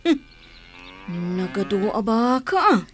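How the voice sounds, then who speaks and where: A woman's voice: fairly high pitch, sing-song, takes it slowly. A woman playing a sceptical but obliging young friend in a radio drama, questioning, with an Ilocano accent. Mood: curious, doubtful. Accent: Ilocano, from the Philippines.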